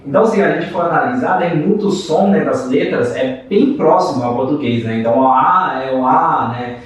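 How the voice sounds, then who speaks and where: A man's voice: fairly high pitch, moderate pace, recorded from a distance. A man born in China, with Mandarin as his first language, chats on a podcast in a slightly raised voice, hedging with frequent "né" tags and restarting sentences. He sits away from the mic in a very echoey room. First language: Mandarin Chinese. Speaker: podcast co-host. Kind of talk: casual podcast chat.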